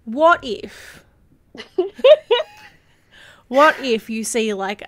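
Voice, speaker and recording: A woman playing an earnest, confused adventurer in a tabletop game, speaking haltingly and fumbling for words. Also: a little high. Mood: earnest, insistent.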